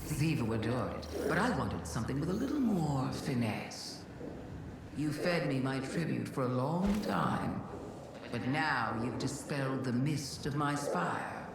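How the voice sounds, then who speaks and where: A woman's voice: extremely low pitch, exaggerated voice. A woman playing an elegant, wry, scheming alien witch queen in a video game, with a poised, theatrical, languid manner. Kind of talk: video game dramatic dialogue. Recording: very echoey, heavy game noise behind.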